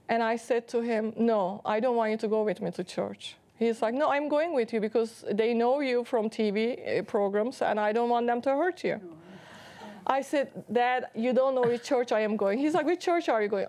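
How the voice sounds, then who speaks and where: A woman with a heavy Turkish accent tells her personal story as a talk show guest. Her voice sits fairly high, and her telling is earnest and often broken by laughter. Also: darkish tone.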